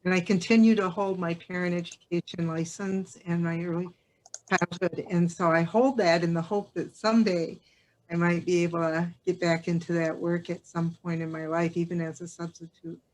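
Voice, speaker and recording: A woman's voice: fairly low pitch, level tone, moderate pace, a little mellow, rough recording. A woman introducing herself on a video call in a friendly, chatty way. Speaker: public health staff guest. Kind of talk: self-introduction.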